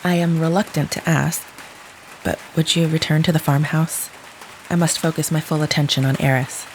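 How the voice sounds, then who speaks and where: Clinical caregiver, precise wording, stilted, earnest audio drama role, light rain noise. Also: female voice, deep voice, moderate pace, poor audio. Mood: earnest, pleading. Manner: stilted, precise, clinical phrasing without contractions. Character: formal, literal-minded woman caring for a troubled child. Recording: steady rain noise behind the voice.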